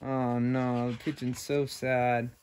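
A man's voice: mid-range voice, little pitch movement, slow pace, a little mellow, clear, resonant tone. A man in his fifties hosting a story time, talking and reading aloud playfully for children. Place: small bedroom, indoors.

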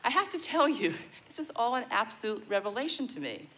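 An American woman in her sixties gives a measured, reflective personal speech at a podium microphone on an outdoor festival stage. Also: on the high side, very animated, a bit bright. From born in Denmark, raised in the United States. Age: 60s. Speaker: guest speaker at a celebration. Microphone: podium mic on a stand.

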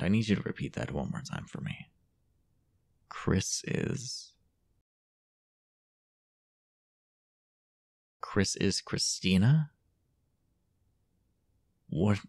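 A young man playing a possessive, jealous, dominant boyfriend in an intimate audio roleplay, halting and teasing, often dropping toward a whisper. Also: low voice, darkish tone, full character voice. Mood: wary, impatient. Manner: halting, teasing, breathy half-whispered asides.

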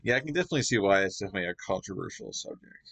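Relaxed home reaction host, a man with a lowish voice who talks loosely and fills every gap. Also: racing, creaky. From Canada. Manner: rambling, lots of "you know" fillers. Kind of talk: casual reaction commentary. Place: home gaming room.

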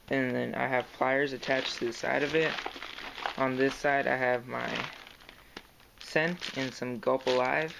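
A young man talks softly and haltingly, with lots of fillers, as he points out gear for the camera. His voice is gravelly and stays on a flat, even pitch. A steady hiss from the handheld camera sits under the talk. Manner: soft-spoken, hesitant, lots of fillers. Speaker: kayak angler showing his gear. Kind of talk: casual show-and-tell vlog. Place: indoors, carpeted room at home. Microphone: handheld camera close to the gear. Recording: noisy home camera recording.

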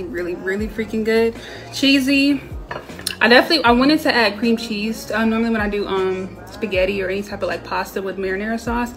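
A young woman in her twenties chatting casually as she walks through a home cooking recipe, with lots of fillers. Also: mid-range voice. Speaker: cooking vlogger. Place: home kitchen, then a small bright bedroom.

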